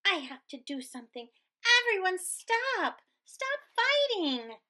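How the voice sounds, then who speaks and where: A woman voicing a small, eager, brave young female prairie dog in a storybook, excitable and earnest. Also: very high-pitched, slow pace, pitched above the performer's own voice, exaggerated voice. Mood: distressed, urgent.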